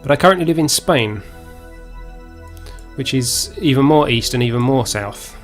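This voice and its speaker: A man with a southern English accent commentates live on his own gameplay. His voice sits at a medium pitch. He talks casually and off the cuff, half to himself, over music. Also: expressive, moderate pace. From Essex, England. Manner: unscripted, muttering to himself as he plays. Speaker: live streamer, gaming host.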